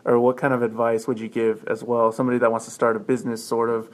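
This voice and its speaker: A man hosting a podcast interview, asking loose, halting questions and adding brief listening sounds. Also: mid-range voice, little pitch movement. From Texas. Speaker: podcast host.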